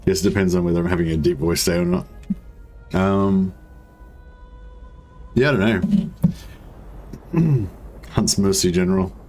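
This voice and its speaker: A man with a deep voice giving casual, rambling commentary while playing a strategy game. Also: a little slow, vocal fry, pauses often. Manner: rambling, relaxed, many fillers. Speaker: gaming streamer. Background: faint game music.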